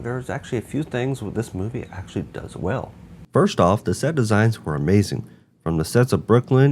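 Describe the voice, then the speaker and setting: A man's voice: lowish voice, darkish tone, a little hiss or hum. A man narrating a scripted review as host, with emphatic, rhetorical phrasing. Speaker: host of a video game movie review show.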